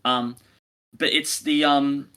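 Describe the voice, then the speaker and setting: A man with a bright, forward voice chats informally as a podcast co-host. He works through his points haltingly, thinking out loud in an analytical review discussion. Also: mid-range voice, steady tone, smooth. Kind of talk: casual review chat.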